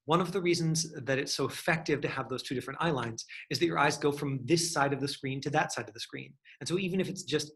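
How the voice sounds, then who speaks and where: A man's voice: medium pitch, a bit bright. A man coaching an acting class, giving instructions in an encouraging way. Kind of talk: hands-on acting lesson.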